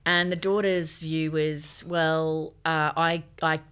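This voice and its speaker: Low-voiced woman, podcast co-host. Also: level tone, takes it slowly, fairly bright tone, clear, resonant tone. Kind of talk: serious conversational discussion.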